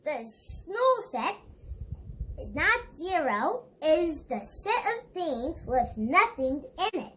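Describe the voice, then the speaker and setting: Slow, very high, childlike female voice. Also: big pitch swings, a bit bright, slight background noise, poor audio. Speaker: read-along book reader. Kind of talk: read-aloud of a children's educational book.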